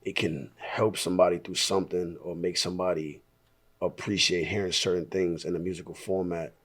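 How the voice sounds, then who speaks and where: Hoarse man, 30s, from Staten Island, very low raspy voice, loose rambling interview talk. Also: little pitch movement, fairly quick, a bit bright. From Staten Island, New York. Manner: laid-back, rambling, frequent throat clearing. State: hoarse, voice partly lost. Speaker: musician being interviewed. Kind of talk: casual interview chat.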